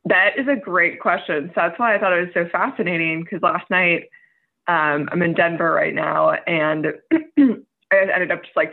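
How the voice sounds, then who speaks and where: Brief: twentysomething woman, bubbly storyteller, thin phone-like audio. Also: fairly low pitch. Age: 20s. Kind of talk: casual chat. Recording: tinny phone-like line.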